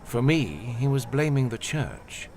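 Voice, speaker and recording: Man acting a calm fatherly high priest, lively intonation. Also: a little slow. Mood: grave, concerned. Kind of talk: audio drama acting.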